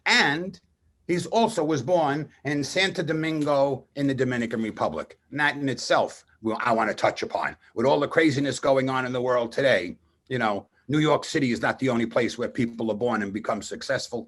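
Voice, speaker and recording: A man's voice: medium pitch, fairly quick, fairly bright tone. A man hosting a radio interview, talking in an emphatic, effusive and digressive conversational way. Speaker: radio show host. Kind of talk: conversational interview and guest introduction. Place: radio studio.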